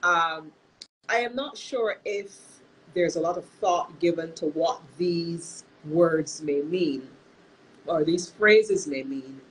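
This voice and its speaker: A woman hosting a live-streamed talk as a counselor, sounding nasal from illness and speaking in an earnest, measured way with frequent restarts. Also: lowish voice, a little hiss or hum, rough recording. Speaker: host and counselor.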